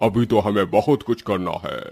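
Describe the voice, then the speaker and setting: A man voicing a menacing ghost in a cartoon horror story, taunting and gloating in a drawn-out way. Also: fairly low pitch, creaky, darkish tone, breathy edge. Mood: gloating menace. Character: menacing male ghost gloating over doomed brides.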